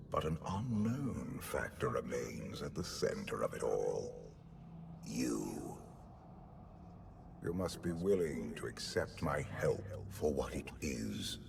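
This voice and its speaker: An actor playing an ancient, imperious emperor in a video game, speaking deliberately in a manipulative, ominous way with a deep gravelly voice. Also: male voice, background noise, heavily acted.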